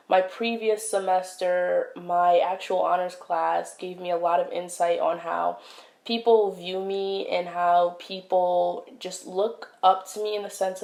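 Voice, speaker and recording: A young woman hosting her own podcast, talking in a casual, reflective way with many fillers and pauses, recorded at home on a close mic. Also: medium pitch, creaky, darkish tone. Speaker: podcast host. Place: indoors, home setup. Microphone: wired podcast mic, close. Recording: echoey room.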